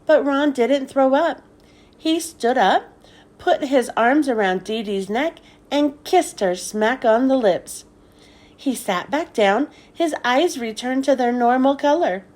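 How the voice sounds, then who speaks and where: A woman reading a children's story aloud as scripted narration, with expressive, deliberate delivery. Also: high voice. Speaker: children's book read-aloud host.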